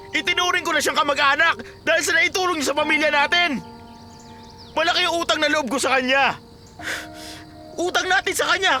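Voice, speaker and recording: A man playing a proud, indignant, middle-aged farmer father who declaims forcefully in a Filipino radio drama. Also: very high-pitched, exaggerated voice.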